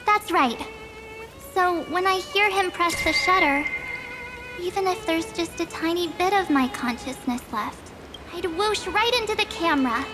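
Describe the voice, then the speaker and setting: A cheeky, self-assured teenage girl with a very bright-spirited, high-pitched voice. She speaks slowly and informally, boasting in video game style over music. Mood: boastful, perky. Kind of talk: video game character acting.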